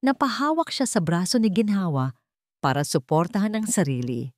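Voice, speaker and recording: A woman with a Filipino accent reading a dramatic story as narrator, with deliberate, suspenseful phrasing. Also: on the low side, big pitch swings, dry recording, clean studio sound.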